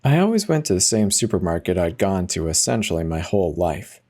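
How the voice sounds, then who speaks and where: A man's voice: sits low, sing-song, brisk, darkish tone. A man giving a dramatic first-person reading of a horror story as its narrator.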